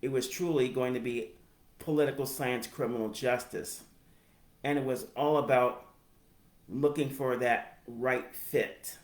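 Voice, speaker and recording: An American man in his forties with a speech impediment, giving a personal reflective narration in halting, deliberate phrases. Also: mid-range voice, fairly even intonation. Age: 40s. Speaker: higher education professional telling own story. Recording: roomy, echoey sound.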